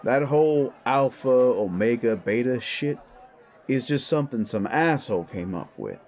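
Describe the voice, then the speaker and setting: A man's voice: mid-range voice, sing-song, normal speed, a little mellow. A man voicing an older, patient, experienced werewolf mentor who explains things frankly in an audio drama. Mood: patient, instructive. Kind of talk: scripted audio drama dialogue.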